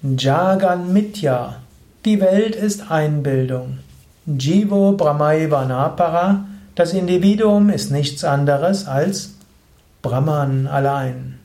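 A man giving a slow, measured spoken lesson on yoga philosophy, explaining with thoughtful pauses. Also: fairly high pitch, warm tone. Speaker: yoga teacher. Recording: roomy echo.

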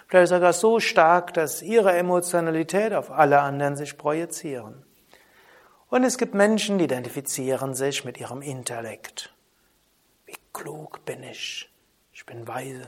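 A man teaching a spoken lesson on yoga philosophy in a calm, measured way, often asking a soft "hm?". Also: a little high. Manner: calm, measured, with frequent soft trailing drops and questioning "hm?". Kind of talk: spoken lesson on Vedanta terms.

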